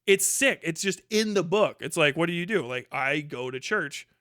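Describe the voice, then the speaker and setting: Higher-voiced man rambling on a livestream. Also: lively intonation, normal speed, fairly bright tone, no echo, clean studio sound. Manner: profane, colloquial, rambling. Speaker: livestream host. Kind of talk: casual livestream monologue, ranting commentary.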